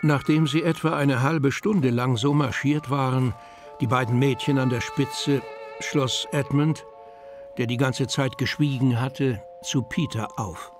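A man's voice: medium pitch, steady tone, a little slow. Male narrator for audio drama: scripted storytelling, mid-range voice, music bed. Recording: music under the voice.

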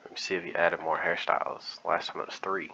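Male gamer host, very bright edgy low voice, murmured casual commentary, muffled mic. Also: little pitch movement, a little fast, vocal fry. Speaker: gaming video host. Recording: muffled band-limited mic, faint noise.

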